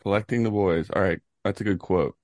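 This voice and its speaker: Teasing young man, quick banter. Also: low voice, darkish tone, no room sound, studio quality. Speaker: podcast co-host. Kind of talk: casual chat.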